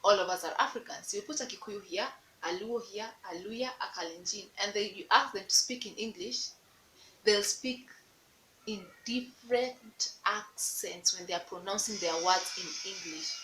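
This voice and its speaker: A woman's voice: medium pitch, moderate pace, sharp tone. A young Kenyan woman with a Luo accent, talking straight to camera in a casual vlog and teasing the viewer with repeated rhetorical questions. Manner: playful, repetitive rhetorical calls to the viewer. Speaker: vlogger. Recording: echoey room.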